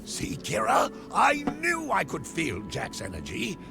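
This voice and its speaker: An actor playing a kindly, earnest old sage in a video game, fatherly and expressive with a gravelly voice. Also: high voice, unhurried, full character voice. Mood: urgent warmth. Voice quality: creaky, gravelly. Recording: loud music behind the voice.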